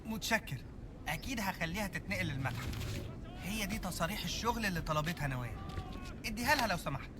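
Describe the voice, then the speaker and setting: An actor playing a polite, hurried Egyptian delivery lad in a video game, with an Egyptian accent. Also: male voice, sits high, expressive, noisy background. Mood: polite, brisk. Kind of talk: video game cutscene acting.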